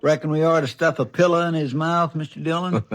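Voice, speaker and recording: An actor playing a loyal, folksy deputy with an American accent, deferential and plain-spoken, in a 1950s radio western. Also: male voice, a little high, steady tone, brisk, a bit bright, natural voice. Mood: loyal, wry. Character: loyal, folksy deputy sidekick of a frontier marshal.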